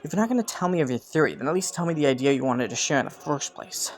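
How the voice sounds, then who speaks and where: A man playing an ambitious young prince in a romantic fantasy audio roleplay, with formal, courtly phrasing and soft hesitations, scheming yet earnest. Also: fairly high pitch, expressive, fast, close to an everyday voice. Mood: businesslike, scheming.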